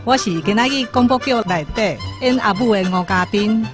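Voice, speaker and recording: Taiwanese woman announcing over clear music. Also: medium pitch, moderate pace. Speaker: radio drama actress addressing listeners. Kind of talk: scripted radio announcement.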